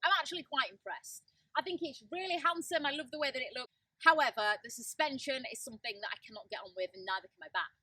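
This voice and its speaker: Bright-voiced Yorkshire woman reviewing cars. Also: high-pitched, fast, dry recording, far from the mic, low-quality recording. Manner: chatty, opinionated, expressive. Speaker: car reviewer. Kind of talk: casual on-camera car review. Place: inside a car, driving.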